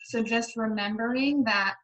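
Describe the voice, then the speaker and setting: A woman's voice: fairly high pitch, unhurried, fairly bright tone, low-quality recording. Reflective woman leading a group call. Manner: reflective, talks through questions to herself. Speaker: team leader in direct sales. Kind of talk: casual group call discussion.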